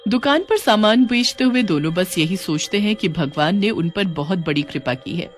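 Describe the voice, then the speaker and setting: A man delivers scripted storybook narration. His voice sits fairly high for a male, with faint music underneath. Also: expressive, moderate pace.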